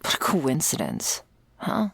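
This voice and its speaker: A husky woman with a fry-laden voice, acted out by a woman as a jaded vampire investigator in an audio roleplay. She confides dark lore with dry, sardonic weariness, close to the mic in a very dry space. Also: wildly swooping pitch, measured pace, no echo, heavily acted. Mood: dry, confiding. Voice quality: husky, creaky low voice. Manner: dry, sardonic, swearing, hushed in places. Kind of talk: ASMR roleplay, intimate dramatic monologue.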